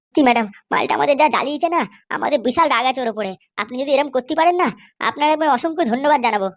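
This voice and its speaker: Woman doing a scheming cartoon sidekick lady, high voice, talks super fast, eager and pushy. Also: creaky. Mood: deferential. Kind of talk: comedy cartoon dialogue.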